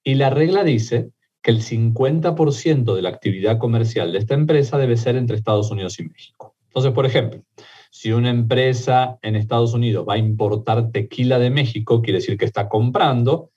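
A man's voice: medium pitch, fairly quick, dark tone, slightly rough, dull audio. A middle-aged man with an Argentine accent, a guest who explains methodically in an interview, with frequent fillers and restarts. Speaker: immigration lawyer guest.

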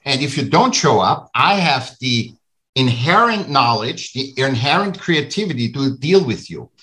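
A man's voice: mid-range voice, sing-song, unhurried, pushed and bright. A man from Austria with an Austrian accent, a guest artist and author speaking emphatically in a podcast conversation, often repeating his phrases. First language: German.

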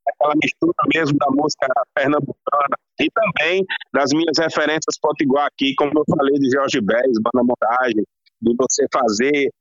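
A man from Rio Grande do Norte with a Northeastern Brazilian potiguar accent, giving long, passionate answers as a guest bass player. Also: fairly even intonation, moderate pace, fairly bright tone, dry recording, highs cut off. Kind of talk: interview answers.

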